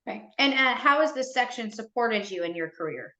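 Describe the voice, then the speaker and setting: A woman moderating a panel over a video call, reading a formal welcome in a steady way. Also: on the high side, a little fast, echoey room, low-quality recording.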